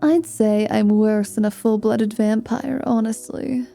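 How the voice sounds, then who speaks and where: A woman's voice: a little high, fairly even intonation, a little slow, creaky, mellow, soft background music. A woman voicing a flirty, world-weary female dhampir mercenary in a fantasy ASMR roleplay, teasing and dry with dramatic flair. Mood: sardonic, brooding.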